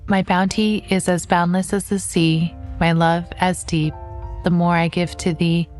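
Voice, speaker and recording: A woman's voice: fairly low pitch, flat delivery, unhurried, darkish tone, faint music behind, lightly acted. A woman voicing a student who recites romantic Shakespearean verse in a storytelling podcast. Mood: romantic, measured.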